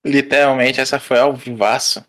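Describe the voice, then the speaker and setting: A man's voice: medium pitch, steady tone, moderate pace, fairly bright tone. A man with a Brazilian accent chatting casually as a podcast panelist, in informal, slangy language with some swearing. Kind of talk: casual chat.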